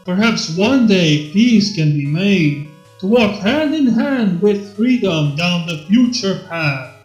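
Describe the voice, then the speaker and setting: A man's voice: high voice, expressive, slow, darkish tone, roomy sound, lo-fi sound, faint music behind. A man voicing a theatrical robot who declaims a grandiose, anguished Shakespearean soliloquy in a fan fiction reading. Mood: grandiose, questioning. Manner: grandiose, declamatory stage delivery.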